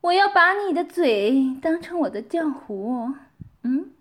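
A woman playing a domineering, scornful mistress who gives commands and taunts, sometimes dropping to breathy whispers. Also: high-pitched, big pitch swings, a little slow. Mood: commanding. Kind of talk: roleplay audio drama.

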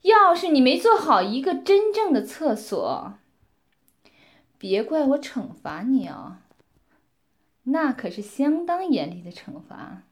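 A woman playing a domineering, scornful mistress who gives commands and taunts, sometimes dropping to breathy whispers. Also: high voice, big pitch swings, slow, long pauses, full character voice. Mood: commanding. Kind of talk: roleplay audio drama.

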